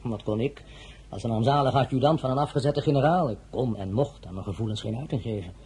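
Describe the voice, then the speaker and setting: An actor playing a courtly general wooing in a radio drama, ingratiating and halting. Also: male voice, medium pitch, dark tone, slightly rough. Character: smooth, hesitant French general courting as suitor.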